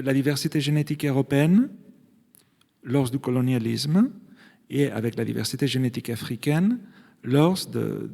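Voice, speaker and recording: A man's voice: on the high side, vocal fry, warm tone. A man who is not a native speaker of the language, a geneticist explaining his field in a public debate, stumbling and repeating words now and then. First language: not French. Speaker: geneticist panelist. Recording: reverberant hall sound.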